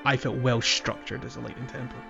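A man's voice: mid-range voice, level tone, a little fast, vocal fry, bright, forceful tone. A man hosting a podcast, casually discussing a video game in a hesitant, rambling way as he thinks aloud. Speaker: podcast host. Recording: slightly dull audio with music underneath. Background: background music.

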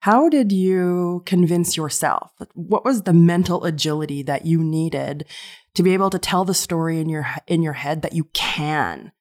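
A woman's voice: sits low, moderate pace, darkish tone. A woman hosting a podcast, interviewing a guest with encouraging questions and frequent affirmations. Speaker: podcast host.

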